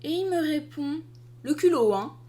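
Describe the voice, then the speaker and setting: A woman's voice: sits high, moderate pace. Exasperated young Frenchwoman chatting. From France. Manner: exasperated, lively storytelling with frequent fillers. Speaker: artist and video creator. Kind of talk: casual chat to the camera.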